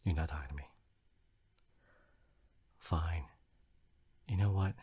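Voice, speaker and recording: A man playing an exasperated, flustered, snobbish young anime fan boyfriend in an ASMR roleplay, arguing in halting bursts with repeated words. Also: sits low, mellow, breathy edge, exaggerated voice. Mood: indignant, passionate. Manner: halting, repeats words, sighing emphatic rant. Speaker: audio roleplay performer.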